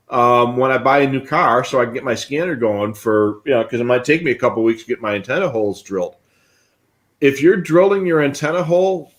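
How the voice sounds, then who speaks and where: A middle-aged man with an American accent co-hosting a livestream, explaining things in a folksy, conversational way. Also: mid-range voice. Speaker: co-host of a radio scanner show. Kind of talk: casual explanatory talk on a livestream. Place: indoor home radio room on a video call.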